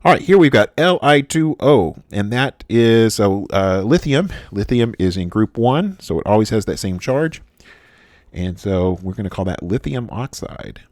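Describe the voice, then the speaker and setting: A chemistry instructor from the United States giving a recorded lecture, halting and full of "uh" fillers and restarts. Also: male voice, lowish voice, expressive, darkish tone, dry recording, studio quality.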